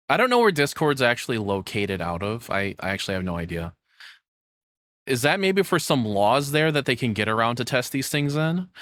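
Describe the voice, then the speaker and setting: A man's voice: fairly low pitch, fairly bright tone, no room sound, clean studio sound. A man giving blunt, opinionated, off-the-cuff commentary as a streamer, with casual swearing. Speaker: streamer reacting to a video.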